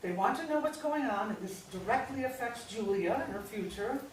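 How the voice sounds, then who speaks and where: A woman's voice: fairly high pitch, lively intonation, brisk, close to an everyday voice. A woman acting a stern, secretive older wife and mother in a staged play reading. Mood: firm, guarded. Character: stern, secretive wife and mother, fiercely furious when crossed. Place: indoor staged reading, recorded from far off. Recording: echoey hall, distant mic, faint noise.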